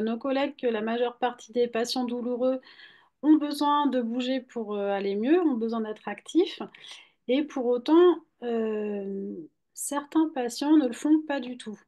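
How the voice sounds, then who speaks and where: A woman co-hosts a live webinar over a video call. Her voice sits a little high. She talks briskly and conversationally and puts questions to her co-host. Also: warm tone. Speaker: physiotherapist and teacher, co-host.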